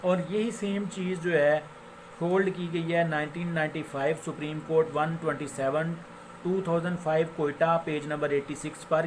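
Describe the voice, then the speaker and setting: A man with a Pakistani accent giving an informal law lecture, explaining with examples and switching into English legal phrases, which he reads slowly and haltingly. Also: fairly high pitch. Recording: noticeable background noise.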